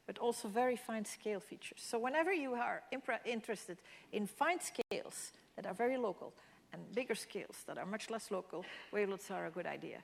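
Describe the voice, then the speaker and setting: A woman's voice: mid-range voice, creaky. A woman whose first language is Dutch, giving a public mathematics lecture with slides and explaining things with frequent restarts and fillers. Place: lecture hall. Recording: long hall reverb.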